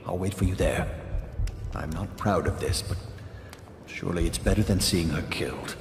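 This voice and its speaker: Low-voiced secretive aristocrat. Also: male voice, moderate pace. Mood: pleading, obsessive. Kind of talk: video game voice acting. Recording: echoey, loud music behind.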